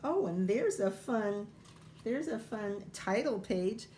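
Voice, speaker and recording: A woman's voice: medium pitch, lively intonation, takes it slowly, mellow, a little hiss or hum. A woman schoolteacher reading a children's picture book aloud, informally thinking aloud and wondering as she goes.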